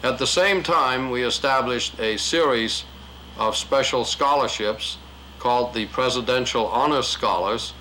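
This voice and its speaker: A man delivers a formal, measured statement in a bright, forceful voice. A faint hum of room noise sits behind him. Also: mid-range voice, rough recording.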